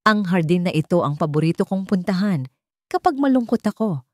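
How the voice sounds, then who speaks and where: A woman voicing a kind, devoted young man in a romance story, gentle and reassuring. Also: lowish voice, expressive, fairly quick, no room sound, studio quality, lightly acted. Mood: tender. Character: kind, devoted young man comforting his childhood sweetheart.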